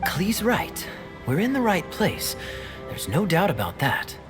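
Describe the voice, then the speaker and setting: An actor playing a courteous, theatrical gentleman adventurer in a fantasy game, with formal, flourished phrasing. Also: high-pitched, huge pitch swings, unhurried, exaggerated voice. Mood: assured, thoughtful. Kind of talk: theatrical video game voice acting. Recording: game music behind the voice.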